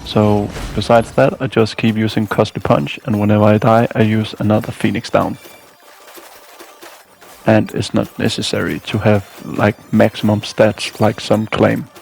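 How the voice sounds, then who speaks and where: A man with a low, gravelly voice delivers a casual video game walkthrough. He narrates from a loose script and drops in dry, weary asides. Music plays behind him. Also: level tone, a little slow, darkish tone.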